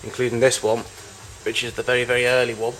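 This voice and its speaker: A man giving an informal, unscripted show-and-tell as a collector of old machines, in a halting, rambling way with frequent self-corrections. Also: medium pitch, little pitch movement, fairly bright tone, rough recording. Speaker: collector showing vintage tape recorders.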